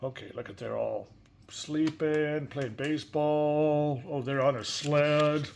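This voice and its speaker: A man's voice: a little high, steady tone, takes it slowly, creaky, a little mellow, slight background noise. A man reading a picture book aloud expressively, with casual, chatty asides and questions to a young listener. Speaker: story reader.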